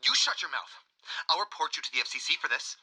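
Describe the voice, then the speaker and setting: A man's voice: a little high, big pitch swings, fast talker, sharp tone, breathy edge, exaggerated voice, low-quality recording. An actor playing a crotchety, indignant old male caller to a radio show, huffy and scolding.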